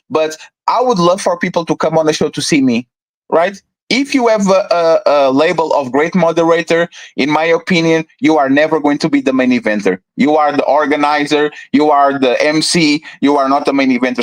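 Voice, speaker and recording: A man's voice: a little high, low-quality recording. A man hosting a podcast debate, pressing his guest with repeated questions and talking over him. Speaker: podcast host. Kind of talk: heated debate.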